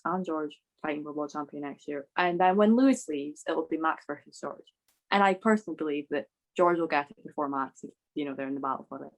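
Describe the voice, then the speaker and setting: Lower-pitched woman in casual fan chat. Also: low-quality recording. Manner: informal, emphatic, peppered with "like". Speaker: podcast panellist.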